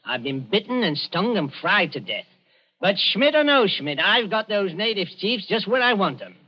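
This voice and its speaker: A cheeky Cockney stage magician. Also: male voice, high-pitched, sing-song, moderate pace. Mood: boastful. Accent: South London Cockney. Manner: breezy, showman's patter. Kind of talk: 1940s radio acting. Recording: dull old radio broadcast.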